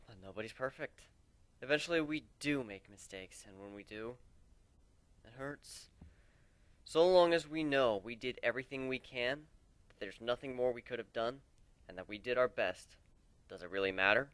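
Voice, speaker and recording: A brooding griffin captain, as a male reader puts him on, wary and sardonic in a fantasy audio story. The voice is clear and smooth at a middle pitch, recorded close and dry, with earnest, theatrical delivery. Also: normal speed, pauses often, a bit bright, no room sound, close to an everyday voice. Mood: regretful, reflective. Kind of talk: dramatic reading of fan fiction.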